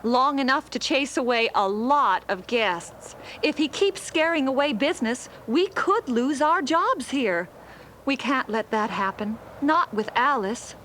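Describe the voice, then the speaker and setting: A woman's voice: high-pitched, lively intonation, measured pace, fairly bright tone, a little hiss or hum. A woman playing a worried, polite ski lodge manager in a children's read-along story. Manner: fretful, pleading. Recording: faint music on old storybook cassette.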